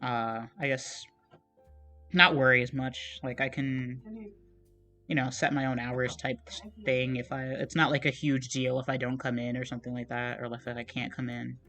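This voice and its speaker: A young man streaming as he draws, chatting casually in a meandering, soft, self-deprecating way, with plenty of fillers and trailing thoughts. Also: mid-range voice, level tone, normal speed, faint music behind. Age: young adult. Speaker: art streamer. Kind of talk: casual chat while drawing on a livestream.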